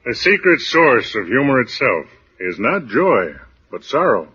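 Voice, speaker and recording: A man playing a solemn great thinker of the past, pronouncing slowly in 1940s radio style. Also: medium pitch, very animated, pushed and bright, slight background noise. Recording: dull 1940s radio recording.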